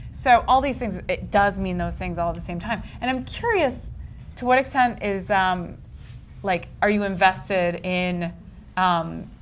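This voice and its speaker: A woman interviewing an author at a bookstore event, asking long, animated questions with many fillers. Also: mid-range voice, measured pace, slight background noise. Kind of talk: casual conversation at a book event.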